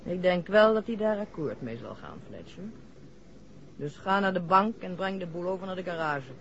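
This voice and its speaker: Controlerende vrouwelijke dokter in hoorspel. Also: female voice, fairly low pitch, lively intonation, normal speed. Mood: controlling, cool. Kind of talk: 1960s Dutch radio drama acting. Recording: dull, noisy vintage radio drama recording.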